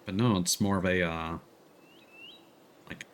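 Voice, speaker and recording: A man's voice: sits low, fairly even intonation, unhurried, a little hiss or hum. A man live streaming a video game with casual, playful, joking commentary. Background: game music.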